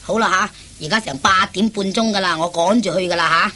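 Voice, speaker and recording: An actress playing a domineering, nagging wife in a radio comedy, scolding and giving orders. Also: female voice, medium pitch, fairly quick, bright, forceful tone, slight background noise, close to an everyday voice. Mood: bossy, impatient. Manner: bossy, scolding, issuing orders. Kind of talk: Cantonese radio comedy acting.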